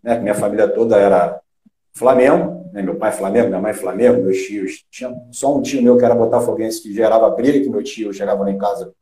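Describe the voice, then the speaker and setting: A middle-aged man from Rio de Janeiro with a Carioca accent, a former footballer chatting as an interview guest, halting with many restarts and fillers. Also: lowish voice, steady tone, mellow, slight background noise. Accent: Carioca Brazilian Portuguese. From Rio de Janeiro, Brazil. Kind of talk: casual interview chat. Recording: echoey room.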